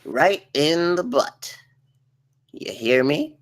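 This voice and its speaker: A man's voice: fairly high pitch, sing-song, drawn-out words, heavily acted. Man playing a bossy, swooping little ship officer. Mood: bossy, menacing. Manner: abrasive, theatrical, switching between barking and fawning. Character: bossy, crude, sycophantic short male ship officer. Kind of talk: comedy script table read.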